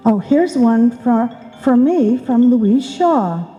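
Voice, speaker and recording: Heard in a reverberant live hall, a woman performs a martyred, nagging wife in an old-time radio comedy. Her voice is a little high and soft-toned, and she takes her time as she needles her husband. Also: expressive, faint music behind. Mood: mildly reproving. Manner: needling, long-suffering, deliberate.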